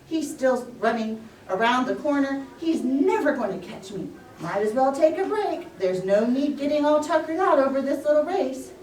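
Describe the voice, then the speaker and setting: A boastful, impatient puppet-show hare, acted out by a woman, talks in a brash, scolding way. The voice is high and animated, heard at a distance in an echoey room. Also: normal speed, few pauses. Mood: smug. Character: boastful, impatient, fast hare, bossy and competitive. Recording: roomy echo, distant microphone.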